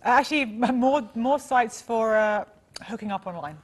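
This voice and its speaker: A woman with a cold, sounding husky and deep, chatting casually as a show host. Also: moderate pace. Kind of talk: casual chat.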